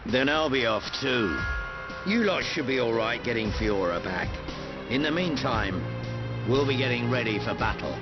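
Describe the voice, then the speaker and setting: An actor with a British accent playing a roguish older warrior in a video game, talking in a breezy, teasing, colloquial way. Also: male voice, mid-range voice, expressive, normal speed, lightly acted. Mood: casual advice. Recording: muffled game audio under loud music.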